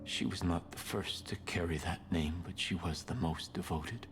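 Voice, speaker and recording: An actor plays a cult leader with a low male voice. He preaches slowly and solemnly in a breathy hush, soft but menacing, as in a story-driven game. Clear background noise surrounds him. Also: natural voice. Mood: solemn, mournful. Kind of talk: slow solemn sermon-like monologue.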